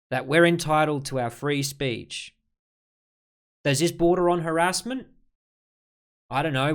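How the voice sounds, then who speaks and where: A man's voice: a little high, a bit bright, clear, resonant tone. A man ad-libbing mocking reaction commentary as host, sarcastic and exasperated. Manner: sarcastic, exasperated, addressing the person he critiques.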